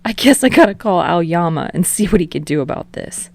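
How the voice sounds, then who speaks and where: A woman's voice: lowish voice, lively intonation, brisk, gravelly, a little mellow, dry recording. A woman voicing a teasing young man, wheezy with laughter, in a fiction reading. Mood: helpless mirth.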